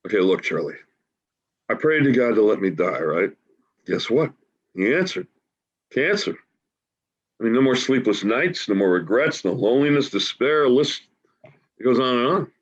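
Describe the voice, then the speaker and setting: Man playing homeless man, dying and glad of it, colloquial, very animated delivery, muffled video-call sound. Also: mid-range voice, moderate pace, long pauses, breathy edge. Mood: elated, insistent. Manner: colloquial, emphatic, matter-of-fact about death. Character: dying homeless man Joe, upbeat and welcoming death. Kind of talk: staged play reading. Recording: dull, lo-fi video call audio.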